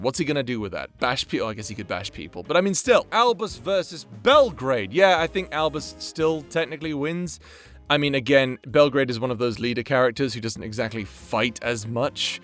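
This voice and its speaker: Man's casual commentary over music. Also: medium pitch. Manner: thinks aloud, weighing and second-guessing before giving blunt verdicts; mild swearing. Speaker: audio creator ranking his fictional characters in matchups.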